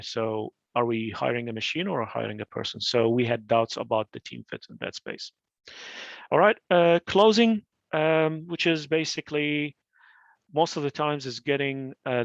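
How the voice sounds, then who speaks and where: A man's voice: mid-range voice, gravelly, no echo, dull audio. A man from Iraq presenting career advice in an informal talk, full of fillers and restarts, on a video call. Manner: advisory, with frequent fillers and restarts.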